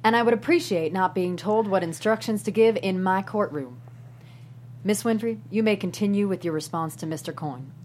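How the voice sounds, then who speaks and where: A woman's voice: medium pitch, normal speed, a little mellow, close to an everyday voice. A woman in a script table read playing a firm, dryly stern courtroom judge with a formal manner. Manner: formal, crisp authority. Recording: noticeable background noise and hum.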